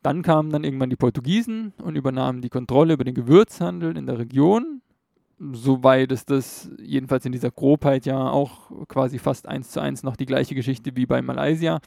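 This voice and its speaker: A man's voice: medium pitch, a little fast, creaky, dark tone, no room sound, clean studio sound. A man giving a casual educational talk, explaining loosely with fillers and self-corrections.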